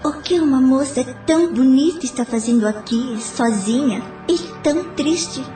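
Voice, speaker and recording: A woman's voice: high voice, moderate pace, warm tone, close to an everyday voice. A woman playing a worldly young Brazilian prostitute, coaxing someone in an audio story. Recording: echoey voice with music.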